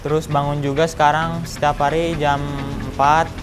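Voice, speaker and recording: A man's voice: a little high, level tone, normal speed. A 19-year-old man from Banjaran in Indonesia, a student giving a casual testimonial. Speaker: student at a language training school. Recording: music laid over the voice.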